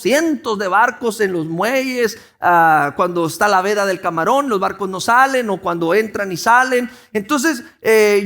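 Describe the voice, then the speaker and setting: Mexican pastor guy from the sonora coast preaching, really high voice, chatty, keeps asking the crowd stuff. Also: a bit bright. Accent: Mexican Spanish, Sonora coast. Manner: conversational, asks the congregation questions, many restarts. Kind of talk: sermon.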